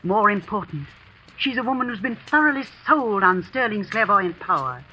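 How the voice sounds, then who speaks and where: An actress playing a shrewd, confiding school housekeeper in an old radio suspense drama, earnest and deliberate. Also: female voice, mid-range voice, expressive, pushed and bright. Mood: grave, conspiratorial. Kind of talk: 1940s-50s radio suspense acting. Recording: noisy vintage radio recording.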